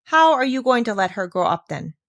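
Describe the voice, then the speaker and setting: A woman's voice: a little high, sing-song, brisk, fairly bright tone, no room sound, studio quality, pitched above the performer's own voice. A woman voicing a kindly old village pastor in a storybook, earnest and formal in manner. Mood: reproachful.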